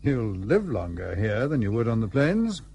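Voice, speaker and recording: An older hotelkeeper from colonial days, polite and full of questions. His voice is mellow and rises and falls freely in an old-fashioned radio play manner. There is a faint hum behind it. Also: medium pitch, sing-song, moderate pace, vocal fry. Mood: measured. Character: courteous, inquisitive older colonial hotelkeeper, a father. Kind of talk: period radio drama acting.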